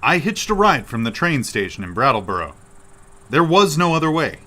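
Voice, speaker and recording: An actor playing an earnest, astonished professor in a radio play, full of questions. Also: male voice, a little high, sing-song, moderate pace, pushed and bright, exaggerated voice. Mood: urgent, worried. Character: earnest, astonished male professor investigating the uncanny.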